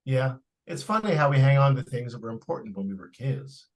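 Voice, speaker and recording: A man's voice: medium pitch, expressive, brisk, close to an everyday voice. A man playing an indulgent but firm father in old-time radio drama, patronizing and a little stammering. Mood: relaxed, sentimental. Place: live stage radio-play performance.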